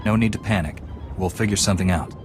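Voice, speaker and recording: An actor playing a composed, resolute knightly hero in a sci-fi video game, speaking in an earnest, measured way. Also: male voice, low voice, over music, lightly acted. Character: steadfast, composed young male Jedi knight hero.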